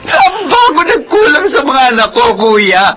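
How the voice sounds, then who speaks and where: An anguished middle-aged grandmother in a radio melodrama. Her voice is very high and bright, swinging widely as she wails in tearful despair over her grown children. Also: sing-song, unhurried, a little hiss or hum, exaggerated voice. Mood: wailing despair. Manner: tearful, wailing. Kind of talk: melodramatic radio drama acting.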